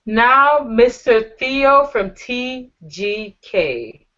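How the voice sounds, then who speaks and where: A woman's voice: fairly high pitch, animated, very slow, sharp tone. A woman hosting a casual online panel show, gushing and flirty as she thanks her guests. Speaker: talk show host. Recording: dull lo-fi audio.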